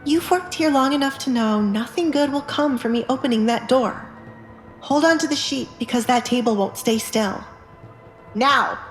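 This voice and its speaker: Woman playing stubborn young noblewoman, guarded, high pitch, roomy sound, audiobook drama. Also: normal speed, faint music behind, close to an everyday voice. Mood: urgent, commanding.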